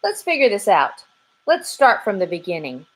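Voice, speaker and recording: A woman's voice: medium pitch, huge pitch swings, normal speed, pitched above the performer's own voice. A woman voicing a helpful, eager young female chick with a friendly, encouraging way of talking.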